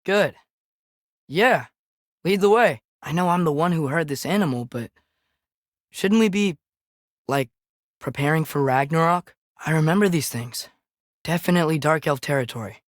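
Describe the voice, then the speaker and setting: A man's voice: on the high side, no room sound, studio quality, natural voice. Earnest American teenage boy adventurer, acted by a young man. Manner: earnest, questioning, youthful casual phrasing. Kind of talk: naturalistic video game character acting.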